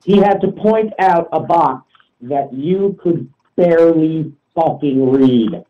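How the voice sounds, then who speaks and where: A man's voice: on the high side, slow pace. A man in casual opinionated discussion, talking bluntly and insistently, with profanity, on a dull lo-fi line. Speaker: talk show participant.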